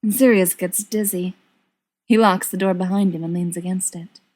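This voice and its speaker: A woman reading scripted fiction aloud as an expressive narrator. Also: fairly low pitch, moderate pace. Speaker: podfic reader.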